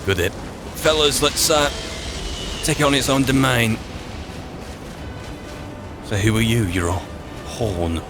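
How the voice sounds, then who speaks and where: A man's voice: slow pace, long pauses, over music. A man giving understated, in-character commentary as he roleplays through a fantasy video game, often muttering to himself. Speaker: gameplay roleplayer and narrator. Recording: loud game ambience and rumbling behind.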